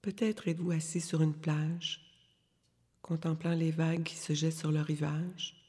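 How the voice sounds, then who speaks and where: A woman leading a guided yoga nidra meditation, giving calm, soothing, unhurried instructions with long pauses in a soft, breathy voice. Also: low voice. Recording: long reverberant hall-like echo.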